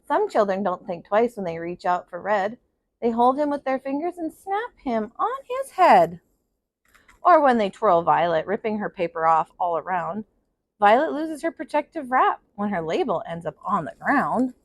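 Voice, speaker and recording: A woman reading a children's picture book aloud in an expressive kindergarten teacher's style. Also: mid-range voice, normal speed, no echo. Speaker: kindergarten teacher, storybook reader.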